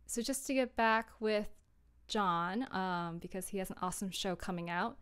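A young woman hosts a casual livestream from a small room at home. Her voice sits at an average pitch with a clean, smooth tone. She talks in a chatty, rambling way, speaking informally to her viewers. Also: moderate pace, a bit bright. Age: young adult. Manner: chatty, rambling, giggly, apologetic. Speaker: livestream host, audio drama creator.

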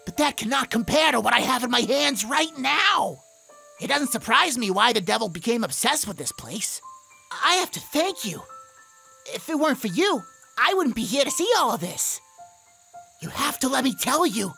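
A woman voicing an excitable, curious young male demon in a cartoon comic dub, theatrical and cheeky. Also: on the high side, moderate pace, creaky, heavily acted. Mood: awed, excited. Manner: theatrical, wonder-struck, cheeky. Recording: music behind the voice.

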